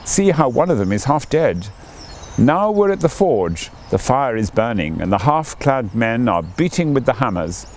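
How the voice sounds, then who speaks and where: A man's voice: mid-range voice, sing-song, normal speed, a little hiss or hum, lightly acted. A man reading the part of a magical little girl in a fairy tale, eager and exclaiming. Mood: excited, wondering. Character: excited little girl, magical elder spirit in disguise.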